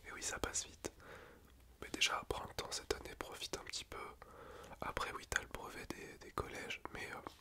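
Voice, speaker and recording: A man whispering softly as a school nurse in an ASMR roleplay, gentle and reassuring, chatting at length in a relaxed, rambling way. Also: moderate pace. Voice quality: soft unvoiced whisper.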